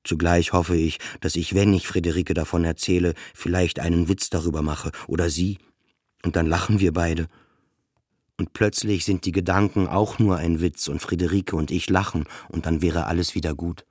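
A man's voice: sits low, fairly even intonation, normal speed, darkish tone, slightly rough, dry recording, clean studio sound. An actor playing a depressed, self-doubting man who narrates his own story in a subdued, confessional radio play style. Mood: brooding. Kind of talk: introspective first-person radio play narration.

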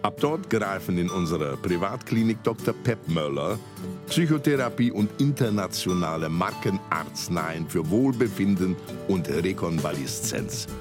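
A man's voice: deep voice, expressive, moderate pace, over music. A man playing an earnest clinic spokesman, reading formal, declarative advertising copy. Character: earnest male clinic promotional video spokesman. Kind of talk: scripted advertising narration.

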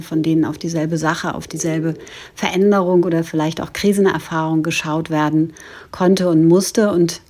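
A woman's voice: on the low side, darkish tone. A woman speaking as a guest philosopher, giving a reflective recorded message in long, careful sentences.

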